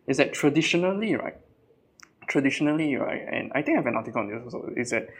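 A man, a tech company CTO speaking as a podcast guest in an animated way, often restarting and repeating his phrases. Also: medium pitch, quick pace, a little hiss or hum. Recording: distant mic, non-studio audio.

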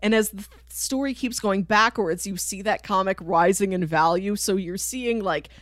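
A woman's voice: mid-range voice, moderate pace, no echo. A woman co-hosting a podcast, chatting casually and explaining things in detail with an enthusiastic, chuckling manner. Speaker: podcast co-host. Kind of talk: casual chat, explaining and recapping a TV cartoon.

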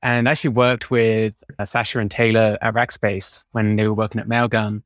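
A man's voice: on the low side, little pitch movement, normal speed, dry recording, clean studio sound. A man with a British accent, explaining technical work informally as a podcast guest. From United Kingdom. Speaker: podcast guest, DevOps engineer.

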